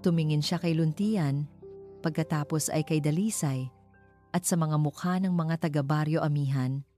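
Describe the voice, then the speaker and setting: A woman narrating a scripted inspirational love story in a measured, dramatic storytelling manner. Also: deep voice, mellow. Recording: music under the voice. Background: background music.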